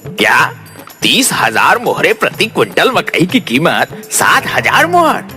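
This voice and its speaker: An actor playing a greedy, gullible older grain merchant in a cartoon story, haggling with drawn-out, blustering exclamations. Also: male voice, on the high side, huge pitch swings, sharp tone, faint music behind, voice pushed down, full character voice. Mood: indignant. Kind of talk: Hindi cartoon story dialogue.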